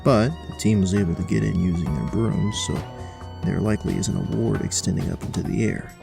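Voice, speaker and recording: A man playing a terse, methodical dungeon inspector in an audio drama, clipped and dry, with a gravelly voice. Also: sits low, steady tone, brisk, over music. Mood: analytical, displeased. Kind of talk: audio drama character monologue.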